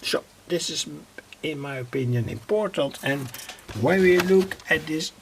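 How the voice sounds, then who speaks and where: Man, slow halting delivery, gravelly low fry, hobbyist demonstration, faint hiss behind. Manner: slow and halting, many "uh" pauses. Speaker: radio hobbyist presenting his own channel. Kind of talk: unscripted hands-on demonstration.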